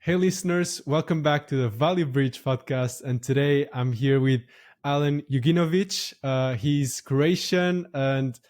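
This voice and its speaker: A man hosting a podcast, talking in a relaxed way with many fillers and frequent laughs. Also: mid-range voice, measured pace. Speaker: podcast host. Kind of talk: casual podcast chat.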